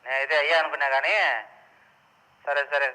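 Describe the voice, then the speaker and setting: A man's voice: fairly high pitch, flat delivery, a little fast, sharp tone, clear, resonant tone, no echo. A man with a Telugu accent chatting casually, heard through a walkie-talkie radio. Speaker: reviewer's younger brother. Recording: crackly walkie-talkie speaker.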